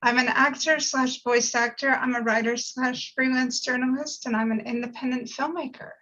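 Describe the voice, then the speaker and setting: A woman with a very high, forceful, bright voice speaking conversationally, as an actor presenting herself. The audio is somewhat dull and lo-fi, like an online call. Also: fairly even intonation, moderate pace. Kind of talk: self-introduction and casual chat.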